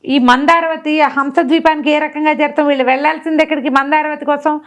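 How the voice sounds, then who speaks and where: A woman's voice: high voice, fast. A woman tells a folk tale conversationally, asking rhetorical questions and acting out the dialogue. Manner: animated retelling with rhetorical questions and acted-out dialogue. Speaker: storyteller.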